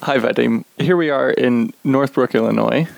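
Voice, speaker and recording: A man with a gravelly, mid-pitched voice hosts an interview in a home living room. He asks friendly, curious questions, and his voice is recorded close and dry. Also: normal speed, no room sound, faint music behind, low-quality recording. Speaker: podcast host and musician. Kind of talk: interview hosting.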